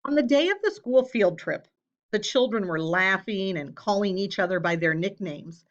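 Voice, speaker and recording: A woman reading a children's story aloud in an expressive, measured way, pausing for emphasis. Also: medium pitch, lo-fi sound. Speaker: storybook reader. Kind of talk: children's read-aloud, scripted narration.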